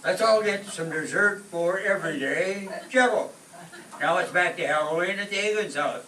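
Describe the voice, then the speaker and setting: A man's voice: high-pitched, normal speed, bright, forceful tone. A man reading scripted announcements as the announcer of a radio show. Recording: echoey stage from far off, faint noise.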